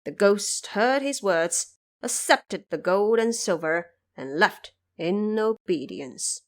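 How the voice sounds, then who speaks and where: A woman tells a scripted fantasy story as an audio drama narrator. She takes her time, with a dramatic, deliberate delivery and a medium-pitched voice. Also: animated.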